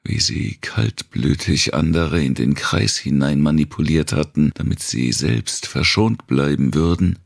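A man reading a dark thriller audiobook in a measured, deliberate way, with a gravelly, husky voice. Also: extremely low pitch, no room sound. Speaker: audiobook narrator. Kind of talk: scripted narration of a dark post-apocalyptic thriller.

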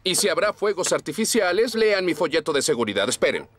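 A man's voice: a little high, big pitch swings, fairly quick, noisy background. A man with a Latin American accent playing a friendly, safety-minded firefighter in a children's cartoon dub, instructive and reassuring. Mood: helpful, earnest. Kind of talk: animated children's series dub.

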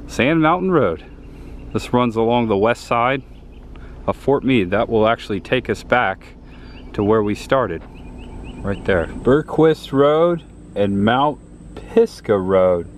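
A man's voice: medium pitch, expressive, slow. A man, narrating a travel vlog to a handheld camera while exploring roadside spots. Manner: chatty, enthusiastic, pointing things out. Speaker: travel vlogger. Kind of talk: casual on-the-go narration. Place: outdoors by roadsides and in a small diner. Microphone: handheld camera at arm's length. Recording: outdoor traffic noise behind.

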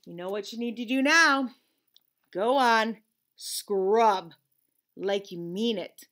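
A woman voicing a storybook lizard's bossy, scolding conscience, interrogating with drawn-out suspicion. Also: medium pitch, a bit bright. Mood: stern, bossy.

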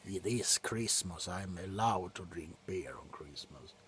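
A middle-aged man playing a grumpy, drunk doctor in an ASMR roleplay, grumbling sardonically close to the mic between soft talk and whispers. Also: low-pitched, a little slow, slight background noise. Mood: grumbling, sardonic. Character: grumpy, drunk male doctor on a Christmas house call. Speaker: ASMR performer. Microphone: very close to the face.

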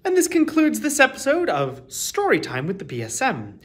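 A man in his thirties reading a children's picture book aloud as a storytime host, with deliberate, emphatic phrasing. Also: extremely high pitch, wildly swooping pitch.

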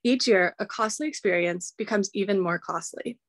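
A woman's voice: mid-range voice, moderate pace, a bit bright, no room sound. A young woman, a college student, earnestly reading a prepared public comment over a video call. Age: young adult.

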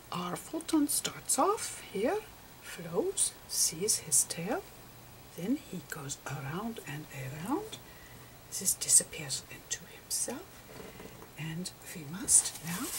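Female ASMR lecturer: breathy, mostly whispered, halting phrases, lowish voice that swings up and down. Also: big pitch swings, noisy background. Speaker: ASMR performer playing a physicist. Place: close over a chalkboard on a table indoors.

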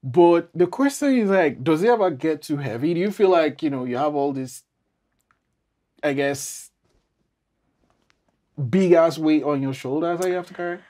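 A man's voice: fairly high pitch, expressive, moderate pace, pauses often, mellow. Informal male podcast host. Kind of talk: casual interview chat. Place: small studio, seated indoors.

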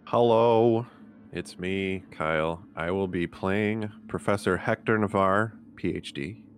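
A man with a very low-pitched voice talks casually as a player in a tabletop game. His delivery is conversational and informal. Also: slow pace, soft background music. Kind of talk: casual chat.

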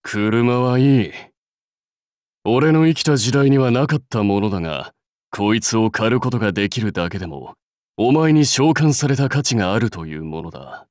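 A man's voice: mid-range voice, animated, normal speed, a little mellow, dry recording, clean studio sound, natural voice. Man acting as proud, brusque samurai warlord. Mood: confident, dry. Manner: curt, commanding, self-assured. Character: proud, brusque warlord rider devoted to his clan. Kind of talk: Japanese mobile game character lines.

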